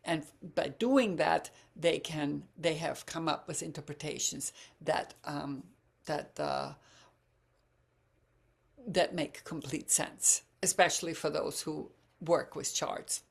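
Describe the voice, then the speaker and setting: A woman, her voice low and gravelly with fry. She explains things at an easy, halting pace, often pausing on fillers and restarting her sentences. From Germany or Croatia, bilingual German-Croatian. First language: German. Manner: hesitant, many fillers and restarts. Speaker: astrology speaker. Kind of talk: informal talk with slides.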